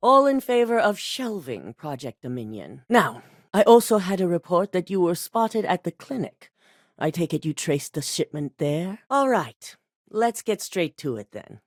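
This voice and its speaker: Cool, authoritative game megacorp CEO, lowish lively voice, dry studio read. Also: female voice, no room sound. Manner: curt, authoritative, businesslike. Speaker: corporate CEO. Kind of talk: video game voice acting.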